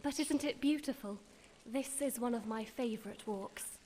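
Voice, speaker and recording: A woman playing a highish, coquettish young lady, noisy ambience. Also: normal speed, a little mellow, lightly acted. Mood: playful, gushing.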